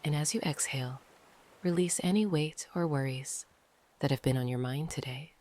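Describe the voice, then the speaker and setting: A gentle, breathy, often whispering meditation guide speaking slowly and calmly, with long pauses between phrases. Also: mid-range voice. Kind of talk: slow, soothing guided meditation narration. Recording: noisy, ambient sound behind the voice.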